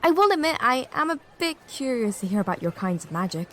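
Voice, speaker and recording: Woman as a prim, skeptical, animated young lady. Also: on the high side, a little fast, a little hiss or hum. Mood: reserved, curious. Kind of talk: audio drama acting.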